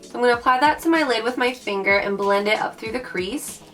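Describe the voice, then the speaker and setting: A young woman with a raspy voice from recent illness, chatting bubbly through a makeup tutorial and calling her viewers guys. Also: a little high, fast talker. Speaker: beauty vlogger. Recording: mic at a distance, soft background music.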